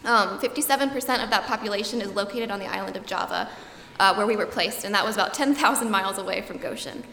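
A young woman, a student giving a slide presentation and filling her pauses with frequent ums. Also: medium pitch, fairly bright tone. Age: young adult. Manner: frequent ums, earnest and informative. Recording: echoey hall, long reverb, faint room noise.

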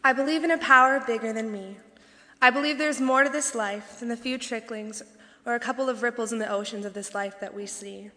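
A woman's voice: on the high side, brisk, pushed and bright. Young woman reading a reflective speech. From British Columbia, Canada. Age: young adult. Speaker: student speaker. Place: campus convocation hall. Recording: reverberant hall.

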